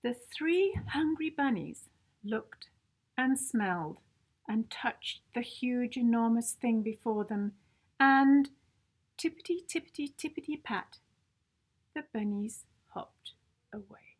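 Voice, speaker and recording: A woman's voice: on the high side, expressive, slow. A woman reading a picture book aloud to young children in a theatrical, playful way, leaning into sound words and stressed adjectives. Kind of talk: expressive storybook read-aloud for young children.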